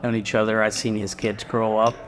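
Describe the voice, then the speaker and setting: A man's voice: on the low side, level tone, fairly quick. A middle-aged man from Guatemala, a restaurant owner, chatting casually in an interview and rambling a little with fillers and restarts.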